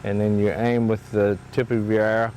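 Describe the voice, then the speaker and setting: A man's voice: on the low side, flat delivery, normal speed, darkish tone, slight background noise, low-quality recording. A man who founded a shooting range, interviewed outdoors on site, giving brief, matter-of-fact instructions.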